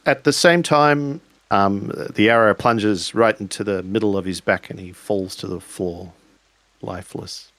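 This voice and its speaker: A man improvising narration for a tabletop roleplaying game, speaking in a halting, deliberate way. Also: lowish voice, a bit bright, dry recording.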